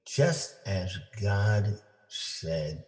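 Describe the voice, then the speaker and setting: A man reading a children's story aloud for families, with deliberate, simple phrasing for young listeners. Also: lowish voice, animated, a little mellow. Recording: roomy, echoing indoor sound.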